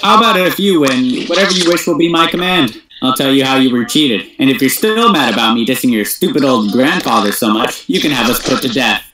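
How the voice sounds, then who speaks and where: A man's voice: mid-range voice, animated, fairly quick, few pauses, lightly acted. A man voicing a cocky, scheming young gamer in an anime fandub, taunting and sarcastic. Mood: smug, bargaining. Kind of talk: anime fandub script reading. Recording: echoey room, faint background noise.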